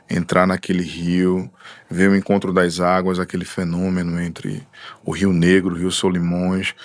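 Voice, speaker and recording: Low-voiced thoughtful man in a dry studio. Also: no room sound. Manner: unhurried, thoughtful, trailing fillers. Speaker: musician guest. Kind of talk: reflective casual conversation.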